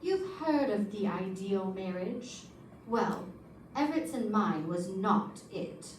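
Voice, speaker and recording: A woman's voice: medium pitch, expressive, slow, a little mellow. A woman playing a composed, calculating wealthy widow in an old-time radio detective drama, poised and pointed. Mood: cool, composed. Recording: roomy, distant stage recording, faint noise.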